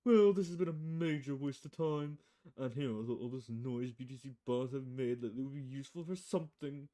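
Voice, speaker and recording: A woman plays a male private eye from a noir visual novel. The voice is dark and mellow, with a grumbling, impatient manner as he fishes for information. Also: a bass voice, a little fast. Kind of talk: visual novel character voice, film noir dialogue.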